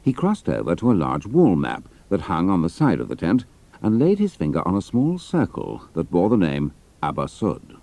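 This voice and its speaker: A man's voice: sits low, huge pitch swings, normal speed, creaky, mellow, no echo. A man narrating a dramatised adventure story.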